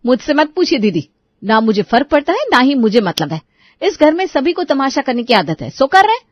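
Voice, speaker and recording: A woman's voice: high voice, very animated, brisk, dry recording, dull audio. A woman playing a defiant young sister-in-law, curt and sardonic, in a dramatised family story. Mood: dismissive.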